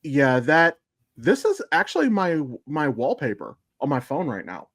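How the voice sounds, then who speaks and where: A man's voice: on the high side, wildly swooping pitch, a little slow, a bit bright, dry recording, studio quality. A man co-hosting a podcast in casual fan discussion, speaking informally with some swearing. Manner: informal, profane. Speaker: podcast co-host.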